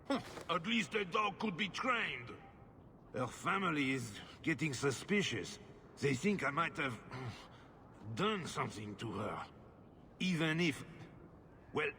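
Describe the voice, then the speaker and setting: An actor playing a bitter, self-pitying middle-aged husband, petulant and scornful. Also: male voice, on the high side, big pitch swings, takes it slowly, sharp tone, big-room sound, slight background noise, heavily acted.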